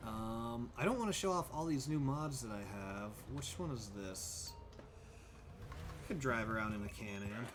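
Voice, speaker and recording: A man's voice: mid-range voice, animated, moderate pace, noisy background. A young man livestreaming a driving game, chatting casually, thinking aloud and thanking viewers by name. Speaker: streamer. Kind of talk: casual livestream chat while gaming.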